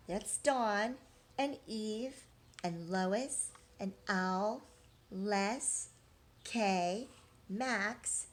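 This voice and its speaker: A woman reading a picture book aloud to young children like a teacher, expressively, drawing out key words and checking in with her listeners. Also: medium pitch.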